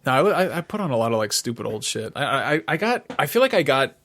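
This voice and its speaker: A man's voice: medium pitch, big pitch swings, quick pace, fairly bright tone, a little hiss or hum. A man co-hosting a podcast, chatting casually and informally about the past, with some swearing. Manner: informal, profane. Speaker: podcast co-host. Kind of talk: casual chat, reminiscing about music.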